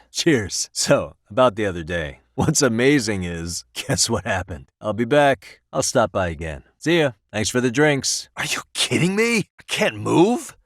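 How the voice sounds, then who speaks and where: A man's voice: lowish voice, no echo, studio quality, exaggerated voice. An American man playing a wry, streetwise private detective in a video game, speaking casually and sardonically in short lines and asides. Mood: relaxed, friendly, then wary, annoyed. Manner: casual, sardonic muttering with frequent sighs.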